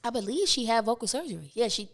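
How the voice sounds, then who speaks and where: Raspy young woman chatting loosely. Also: fairly high pitch, lively intonation, fast talker. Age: 20s. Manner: rambling, many fillers and restarts. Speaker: rapper and podcast guest. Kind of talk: casual interview chat.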